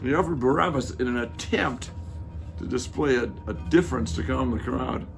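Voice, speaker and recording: A man playing a reflective, doubting Roman soldier who is proud of his rank, speaking in a measured, halting way in a dramatic reading. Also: medium pitch, creaky, soft background music, natural voice. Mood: pensive. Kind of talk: dramatic reading of a church passion play.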